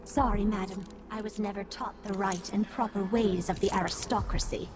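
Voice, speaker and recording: A woman voicing a meek, apologetic lowborn character in a video game. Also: fairly even intonation, normal speed, long reverb tail, background noise, lightly acted. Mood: meek apology.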